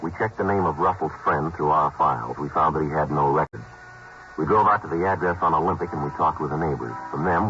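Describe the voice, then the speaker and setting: An actor playing a terse, matter-of-fact police detective who also narrates, in a 1950s radio drama. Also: male voice, very deep voice. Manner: clipped, flat, matter-of-fact delivery. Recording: muffled old radio broadcast with hiss.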